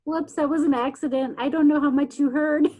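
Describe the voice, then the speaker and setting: A woman with a high-pitched voice speaks as the host of an online literary event. Her talk is informal and unscripted, addressed to the others on a video call. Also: fairly even intonation, moderate pace, mellow. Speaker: host of poetry reading event.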